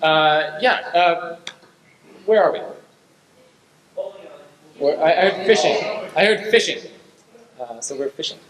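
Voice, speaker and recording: A man's voice: a little high, lively intonation, slow, pauses often, a crowd in the background. A man casually hosting a live improv show on stage, giving informal, meandering instructions. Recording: echoey hall with audience noise.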